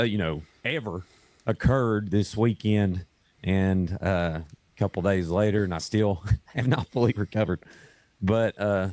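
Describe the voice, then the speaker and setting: A hoarse man reading a news report aloud in a casual way, stumbling now and then and adding his own corrections. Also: lowish voice, a little mellow. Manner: casual, stumbles over words, adds corrections. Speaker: online video host reading a news statement.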